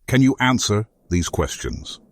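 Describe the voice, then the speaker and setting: A man's voice: lowish voice, sing-song, measured pace, full character voice. A man voicing a defeated fantasy adversary in a video game, with solemn, florid, philosophical and resigned speech. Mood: solemn, challenging.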